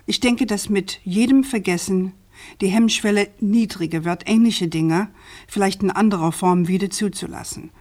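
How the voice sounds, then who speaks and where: An actress playing an earnest, persistent journalist in a radio drama, speaking in an articulate, deliberate, insistent way. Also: female voice, medium pitch, darkish tone, lightly acted. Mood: earnest, urgent. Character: earnest, persistent woman journalist challenging an official.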